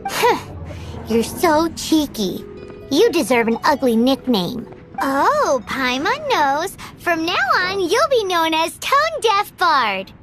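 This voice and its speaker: A woman voicing a tiny, cheeky, childlike fairy companion in a video game, excitable and bratty. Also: very high-pitched, takes it slowly, music in the background, exaggerated voice. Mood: cheeky, teasing. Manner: childlike, refers to self in third person.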